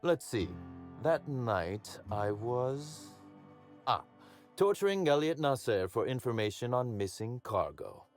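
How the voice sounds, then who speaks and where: Suave menacing crime boss, game acting, lively intonation, wry, theatrical, faint music behind. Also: male voice, medium pitch, slow. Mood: gleefully menacing. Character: suave, amused, menacing male crime boss in corporate employ.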